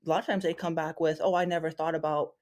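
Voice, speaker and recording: A woman's voice: sits low, little pitch movement, quick pace, a little mellow. Rambling, chatty woman co-host. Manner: rambling, full of ums and restarts. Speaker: podcast co-host. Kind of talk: casual chat.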